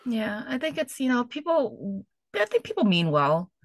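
A woman's voice: a little high, sing-song, moderate pace, dry recording. Earnest middle-aged woman therapist, hesitant. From United States. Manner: earnest, hesitant, laughs often. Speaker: therapist guest. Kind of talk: casual podcast conversation.

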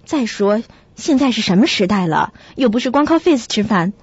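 A woman playing a sensible, caring young flatmate who narrates and acts in a radio drama, with a husky, breathy voice. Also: fairly high pitch, normal speed, dry recording, highs cut off. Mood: reassuring.

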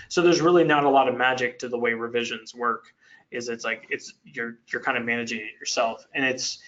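Rambling man with a bright voice. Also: medium pitch. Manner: rambling, many fillers and restarts. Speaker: software engineer presenting a product. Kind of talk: technical Q&A answers. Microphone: video call. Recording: dull lo-fi web meeting audio.

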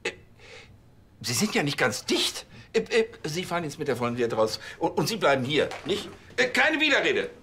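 Pompous, animated police chief. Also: male voice, fairly high pitch, normal speed, a little hiss or hum, heavily acted. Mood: irritated, bossy. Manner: pompous, hesitating with "äh". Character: blustering, bossy, self-important male police chief.

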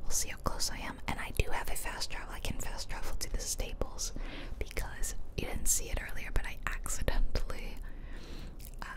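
Whispering woman, playful ASMR gamer. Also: moderate pace. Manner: playful, chatty, self-amused. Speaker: game streamer and ASMR host. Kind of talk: whispered ASMR gameplay commentary. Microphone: close ear-to-ear microphone.

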